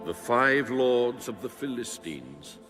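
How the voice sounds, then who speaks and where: An actor playing God in a dramatic audio Bible, speaking in a solemn, stately, commanding manner. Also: male voice, mid-range voice, big pitch swings, slow. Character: God, male, solemn, commanding, ancient authority. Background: music.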